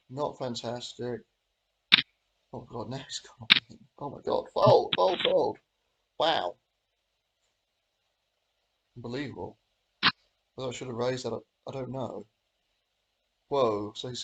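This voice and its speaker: A man with a cold, a bit hoarse, hosting and talking casually through his own poker hands as he plays, half-muttering his thoughts aloud. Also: mid-range voice, very noisy. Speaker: host. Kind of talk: casual commentary while playing online poker.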